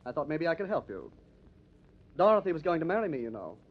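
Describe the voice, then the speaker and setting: An actor playing a young, haughty millionaire heir with an affected manner in an old-time radio crime drama. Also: male voice, sing-song, fast. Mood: affronted, ingratiating. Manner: affected, high-hat. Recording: dull lo-fi old radio recording.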